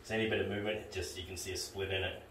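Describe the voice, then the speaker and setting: Low-voiced man in an echoey room. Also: flat delivery, very fast. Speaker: tradesman presenting a renovation video. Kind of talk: casual how-to commentary while working. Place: small tiled bathroom under renovation, indoors. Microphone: camera a few steps away. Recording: roomy echo, distant camera mic, music.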